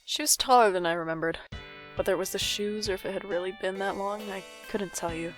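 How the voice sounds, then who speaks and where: Woman playing a dry, anxious young narrator, lower-voiced. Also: quick pace, faint music behind, lightly acted, poor audio. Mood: wry, uneasy. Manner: dry, wry, theatrical sarcasm. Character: anxious, sardonic older sibling, self-deprecating former band kid. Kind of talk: audio drama acting with first-person narration.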